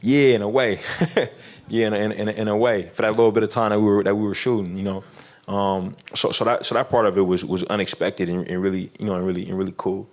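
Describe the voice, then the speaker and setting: Low-voiced man, halting and laughing. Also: flat delivery. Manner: halting, many restarts and fillers, laughs often. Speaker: film director guest. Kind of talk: casual interview conversation.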